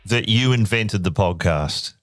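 A wry man in his fifties with a low voice that swoops widely as he jokes. He laughs easily, and the recording is dry and close. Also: animated, unhurried, no echo. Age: 50s. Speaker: podcast co-host. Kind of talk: casual chat.

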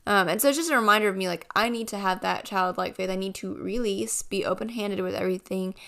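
A woman hosting a casual devotional podcast, her voice a bit raspy as she gets over a cold, speaking in an earnest, reflective way. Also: mid-range voice, fast talker, fairly bright tone. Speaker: podcast host.

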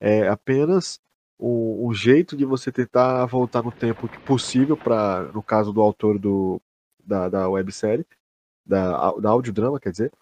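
A man's voice: lowish voice, a little slow, a little mellow, a little hiss or hum. A young man with a Brazilian accent chatting casually as a podcast co-host, slangy and free with swearing. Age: young adult. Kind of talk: casual chat.

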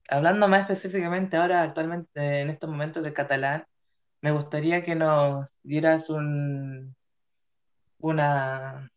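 Chilean dude as the interviewer, kinda high voice, rambles, muffled lo-fi audio. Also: male voice. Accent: Chilean Spanish. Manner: rambling, searches for words. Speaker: mock interviewer. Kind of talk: casual role-played interview. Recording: muffled, dull sound.

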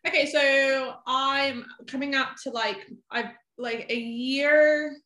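Young woman guest, twenties, bright voice, rambling casual talker, roomy echo on the track. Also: high voice, fairly even intonation, takes it slowly. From half American, half English. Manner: chatty, rambling, heavy use of "like". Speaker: guest photographer. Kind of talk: casual podcast chat. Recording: echoey room.

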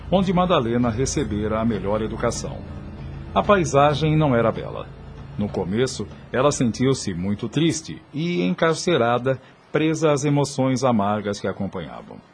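A man with a Brazilian accent narrating a radio drama from a script in formal, literary style. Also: mid-range voice.

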